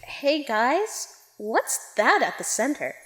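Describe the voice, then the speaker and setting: A feisty young girl hero of a radio drama, played by a woman, with a high, very lively voice. She is bold and defiant, heard in a reverberant hall over music. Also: slow, exaggerated voice. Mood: urgent. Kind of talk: audio drama acting.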